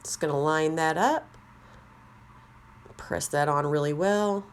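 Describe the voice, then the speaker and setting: A woman with a slightly raspy voice, chatting casually as she walks through a craft tutorial. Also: deep voice, takes it slowly. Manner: chatty, rambling, self-deprecating. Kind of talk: casual how-to narration.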